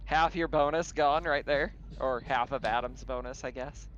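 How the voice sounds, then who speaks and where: A man's voice: mid-range voice, normal speed, a bit bright. A man acting as game master of a tabletop game, narrating in a casual, chatty way. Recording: dull lo-fi audio, faint noise.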